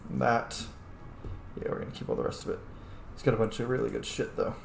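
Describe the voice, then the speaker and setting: A man's voice: mid-range voice, sing-song, quick pace, creaky. Man casually gaming in an echoey room. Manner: informal, thinking aloud. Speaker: video game player, streamer. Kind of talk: casual let's-play commentary. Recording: roomy echo, faint background noise.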